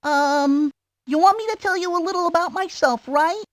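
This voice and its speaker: Game child: chatty young ballplayer, very high, dry close recording. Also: female voice, moderate pace, dry recording. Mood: nervous, chatty. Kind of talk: video game character voice-over.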